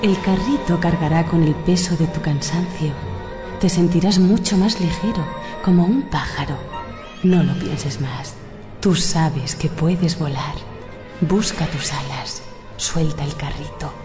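A seductive voice inside someone's head in a radio drama. She is low-pitched, unhurried and persuasive, soothing her listener over a music bed. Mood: coaxing. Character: seductive, coaxing female inner voice of temptation. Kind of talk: surreal radio drama acting.

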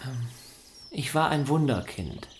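An actor in a German radio play playing a gentle, hesitant, earnest young musician. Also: male voice, medium pitch, animated, unhurried, reverberant, close to an everyday voice. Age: young adult.

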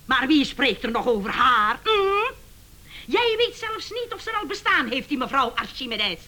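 An actress playing a mocking, long-suffering wife in a radio comedy, drawling and scornful. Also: female voice, high voice, very animated, moderate pace, pushed and bright, exaggerated voice. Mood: scornful, complaining. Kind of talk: radio comedy drama acting.

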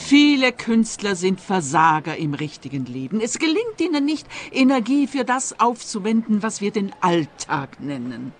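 A woman's voice: mid-range voice, big pitch swings, moderate pace, few pauses, soft background music, natural voice. A woman playing a pompous, lecturing art expert in a radio play. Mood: lofty. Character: pontificating female art expert, lofty and theatrical.

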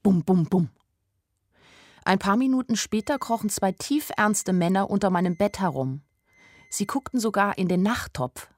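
A woman's voice: mid-range voice, animated, moderate pace, no room sound, close to an everyday voice. An actress playing a naive young woman who tells her story candidly and wryly in a radio play. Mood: candid storytelling. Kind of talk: radio play first-person narration.

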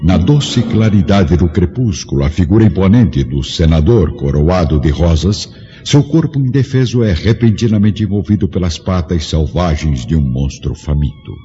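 A man's voice: deep voice, lively intonation, moderate pace, warm tone. A man narrating a radio play in a solemn, dramatic way. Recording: dull audio with long reverb and music.

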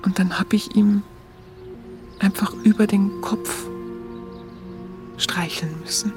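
A woman playing a tender, earnest young Munich woman with a Bavarian accent, confiding haltingly in a radio drama. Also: medium pitch, fairly even intonation, close to an everyday voice. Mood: tender, moved. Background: music.

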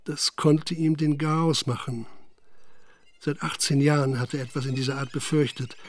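A man narrating a radio play in a measured, matter-of-fact literary style. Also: on the high side, steady tone, dry recording, lightly acted. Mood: measured, sober. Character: sober male narrator recounting a village scandal.

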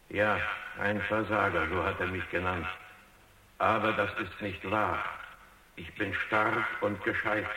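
Proud robot in echoing hall. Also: male voice, sits low, sharp tone, exaggerated voice. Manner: brooding, emphatic self-talk. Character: proud, wounded male robot obsessed with proving its strength. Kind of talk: German radio drama acting. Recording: echoing hall reverb, faint hiss.

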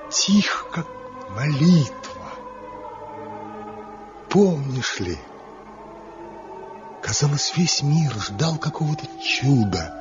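A brooding rejected lover. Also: male voice, mid-range voice. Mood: rapturous. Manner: slow, brooding, impassioned declamation. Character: Zhivko, passionate rejected lover, a master carver. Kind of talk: 1970s radio drama acting. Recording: dull lo-fi old radio recording with music.

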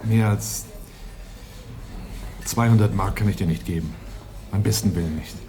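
An actor playing an exasperated, hard-up brother who refuses to help, in a radio crime play. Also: male voice, on the low side, normal speed, natural voice. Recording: clearly noisy background.

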